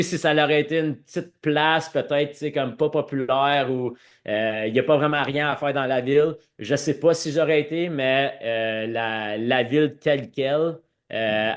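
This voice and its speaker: A man's voice: medium pitch, normal speed. A man with a French Canadian accent telling his own story conversationally as a podcast guest, sprinkling English words into his speech. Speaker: podcast guest, former hockey player.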